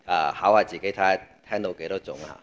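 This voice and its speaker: A man with a Cantonese accent preaching a sermon in a conversational way, pausing in short phrases for an interpreter. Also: low-pitched, muffled recording.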